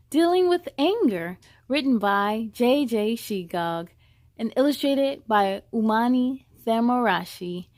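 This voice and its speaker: A woman's voice: a little high, no room sound. A woman hosting a children's story time, reading a picture book expressively and adding chatty asides and questions for young listeners. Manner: animated, drawn-out delivery with interjections and questions to listeners. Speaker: children's read-aloud host. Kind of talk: expressive storybook read-aloud with friendly asides to young viewers.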